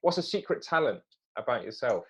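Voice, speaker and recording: A man hosts an interview in an everyday, middle-pitched voice. He puts his questions in a conversational way, as the host of a profile series would. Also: sing-song, brisk, slightly rough, muffled recording.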